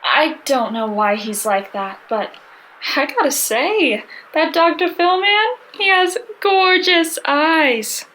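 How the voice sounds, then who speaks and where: Woman playing high-pitched, smitten gossip. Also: expressive, takes it slowly, pushed and bright, exaggerated voice. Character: dreamy, smitten female gossip telling tall tales. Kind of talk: radio drama acting.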